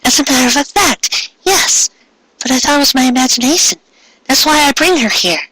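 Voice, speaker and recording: A high-pitched, earnest schoolgirl acted out by a man for an amateur story reading. The voice is dainty and eager, with a bright edge and polite delivery. It is recorded close and dry. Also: moderate pace, no echo, poor audio.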